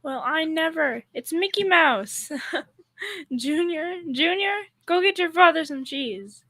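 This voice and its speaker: A girl playing a high, creaky-voiced wry housewife. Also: animated, takes it slowly, fairly bright tone, dry recording, heavily acted. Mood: startled, wry. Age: young teen. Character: wry, long-suffering housewife and mother. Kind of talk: school performance of old-time radio comedy.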